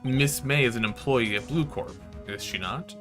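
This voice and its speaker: A man's voice: mid-range voice, normal speed, over music, natural voice. A man voicing an earnest young defense attorney in a visual novel, direct and questioning. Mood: probing. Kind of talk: visual novel dialogue read aloud.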